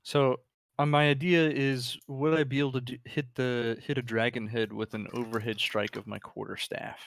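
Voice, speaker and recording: Man with creaky voice, thinking aloud. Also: mid-range voice, little pitch movement, moderate pace. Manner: thinks aloud, repeating words. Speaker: tabletop game player. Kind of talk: casual game-table chat.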